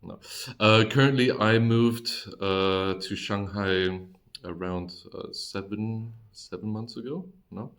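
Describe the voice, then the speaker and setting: A young man who grew up in China co-hosting a podcast, talking hesitantly with many pauses. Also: fairly low pitch, steady tone, a bit bright. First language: Mandarin and German. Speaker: podcast co-host, marketing manager. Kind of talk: conversational podcast.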